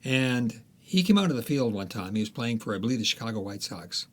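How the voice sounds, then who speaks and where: A man's voice: medium pitch, big pitch swings, brisk. A man, an author guest in a podcast interview, talking casually and hesitantly with many restarts.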